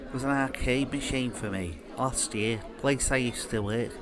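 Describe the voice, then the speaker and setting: A man's voice: medium pitch, normal speed, a crowd in the background, natural voice. Guy playing british bloke, new crook, casual n a bit guarded, mid voice, people chattering behind, audio drama. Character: newer criminal recruit, ordinary working bloke. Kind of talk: naturalistic audio drama dialogue.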